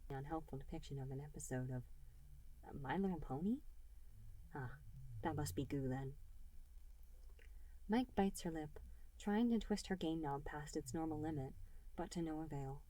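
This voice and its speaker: A woman reading scripted fan fiction as an expressive narrator. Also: low-pitched, poor audio. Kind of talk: expressive scripted story narration with character dialogue.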